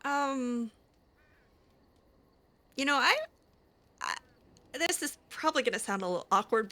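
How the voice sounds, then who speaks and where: A woman's voice: a little high, pushed and bright. A young woman roleplaying her game character aloud, talking hesitantly with many fillers and restarts. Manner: hesitant, filler-heavy, giggly.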